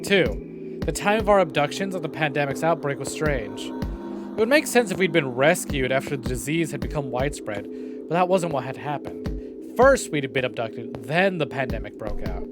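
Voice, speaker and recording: A man's voice: fairly high pitch, animated, moderate pace. A male streamer reading a visual novel aloud, with playful, wry asides. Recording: game music behind the voice. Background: clear game music.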